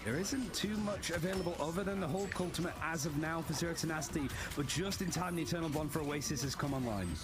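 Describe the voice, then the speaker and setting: A man casting an esports match, giving steady analytical play-by-play commentary. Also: fairly high pitch, fast, pauses often, loud music behind the voice. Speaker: esports caster.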